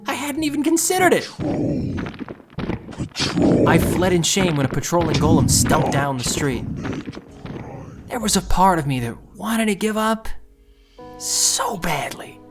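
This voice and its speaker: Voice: medium pitch, big pitch swings, measured pace, pauses often, over music. An actor playing an anxious, self-doubting, idealistic young thief who narrates their own story earnestly and intensely in an audio drama. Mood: earnest, self-mocking.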